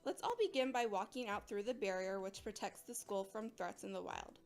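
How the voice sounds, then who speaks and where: A woman's voice: mid-range voice, faint music behind, close to an everyday voice. Cheery, teasing woman botany teacher played by an actress. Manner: brisk mock-stern teasing. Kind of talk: audio drama acting.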